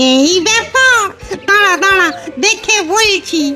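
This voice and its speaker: Older gossip ghost for a cartoon: extremely high, slow, knowing and grumbly, loud music behind. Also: female voice, animated, exaggerated voice. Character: know-it-all older gossip ghost, grumbling about youngsters. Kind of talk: children's cartoon voice acting.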